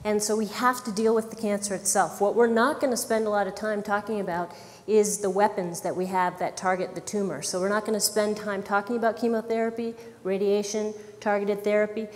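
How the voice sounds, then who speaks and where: A woman naturopathic doctor giving a lively health lecture, talking directly to the audience. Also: medium pitch, brisk, in a large hall. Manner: lively, engaging, talks to the audience. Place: conference hall. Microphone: wireless microphone.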